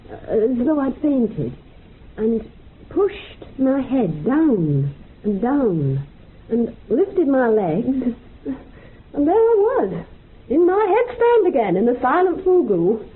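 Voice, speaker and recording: A woman's voice: on the high side, big pitch swings, slow pace, husky, full character voice. An actress playing a woman in a radio drama, telling a rambling, colloquial story of a haunted memory. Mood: haunted, uneasy. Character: woman recounting a dream of wartime dead in a club. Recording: muffled narrow-band radio recording, background hiss.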